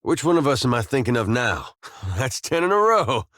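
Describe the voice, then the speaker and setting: An actor voicing an easygoing, wry and weary farmer turned soldier in a video game, with a folksy, plain-spoken drawl. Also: male voice, mid-range voice, lively intonation, normal speed, no room sound, studio quality, close to an everyday voice. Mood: curious, wry. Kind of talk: video game companion dialogue.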